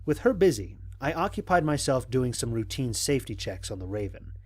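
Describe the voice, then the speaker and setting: A man playing a wry, analytical stranded astronaut, narrating mission logs in an audio drama in a measured, articulate way. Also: mid-range voice, very animated, darkish tone. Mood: reflective, wry. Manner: measured, articulate, dryly humorous. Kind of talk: dramatic scripted log narration.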